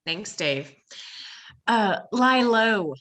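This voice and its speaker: A woman playing a brisk, teasing office colleague in a comic play reading over a video call. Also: mid-range voice, lively intonation, a bit bright. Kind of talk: comic stage script table read. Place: home, online video call.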